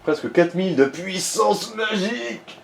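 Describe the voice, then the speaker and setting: A man's voice: high-pitched, lively intonation, unhurried, gravelly. A man chatting casually as he co-commentates a video game, giving informal, slangy step-by-step directions to the player. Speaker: let's play co-commentator guiding the player. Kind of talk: casual gaming chat. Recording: roomy echo with noticeable background noise.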